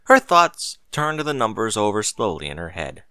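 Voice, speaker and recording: A man reading a story aloud as narrator, in expressive scripted narration. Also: on the low side, moderate pace, smooth, no echo, studio quality.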